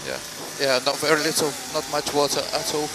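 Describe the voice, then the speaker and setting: A male racing driver reporting to his team in halting phrases over in-car radio. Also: on the high side, monotone, very noisy. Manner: halting, repeats words.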